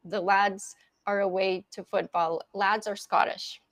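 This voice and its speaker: A woman's voice: medium pitch, unhurried, no echo. Ukrainian-born woman reading viewer comments. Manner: relaxed, reads out viewer questions. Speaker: co-host. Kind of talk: casual livestream chat, reading viewer comments.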